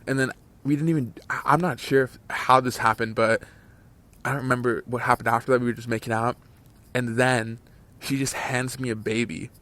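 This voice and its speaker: A man's voice: medium pitch, steady tone, a little fast, fairly bright tone. A man in his twenties casually telling a long story, rambling with many fillers and restarts. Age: 20s. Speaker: channel host telling a story. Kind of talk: casual story time over gameplay.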